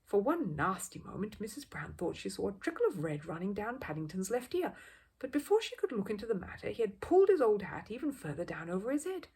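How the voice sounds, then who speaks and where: A woman narrating a children's story as a storyteller, expressively, with a husky, breathy voice. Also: mid-range voice, very animated, brisk, a little mellow.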